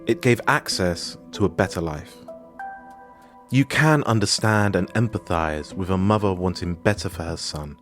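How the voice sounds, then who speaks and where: A man from Morocco hosting a podcast, giving scripted documentary narration in an emphatic, personal storytelling manner. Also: on the low side. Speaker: podcast host.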